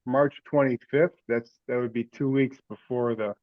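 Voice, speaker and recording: A man's voice: mid-range voice, steady tone, moderate pace, no room sound. A man chairing an informal meeting over a teleconference, talking casually. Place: remote teleconference call. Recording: dull, dry teleconference audio.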